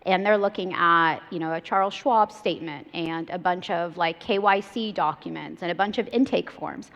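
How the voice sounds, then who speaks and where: A woman in her thirties speaking from a big conference stage through a lapel mic. Her voice sits low and comes through slightly dull, with a long hall reverb trailing behind. She talks casually and quickly, sprinkling in fillers. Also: a bit bright. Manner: casual, fluent, filler-heavy with frequent "like" and "you know". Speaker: startup CEO panelist. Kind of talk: conference panel discussion.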